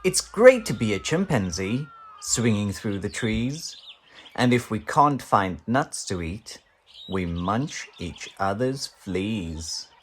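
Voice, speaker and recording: Man as a cheeky, lively chimpanzee. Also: on the low side, slow pace, a little hiss or hum. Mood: gleeful. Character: cheeky, playful, excitable chimpanzee.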